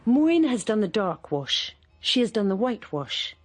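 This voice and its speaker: A woman narrating a radio drama in a measured, even storytelling manner. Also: medium pitch, soft background music.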